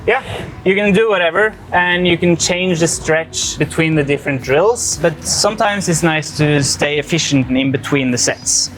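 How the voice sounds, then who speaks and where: A man coaching a handstand session, calm and encouraging, explaining each step plainly. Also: a little high, normal speed, a little hiss or hum, low-quality recording.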